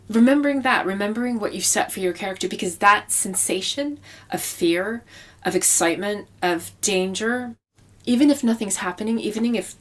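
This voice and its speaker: A woman speaking as a novelist in an interview, explaining her craft conversationally and repeating phrases for emphasis. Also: mid-range voice. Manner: explanatory, with emphatic repetition for effect.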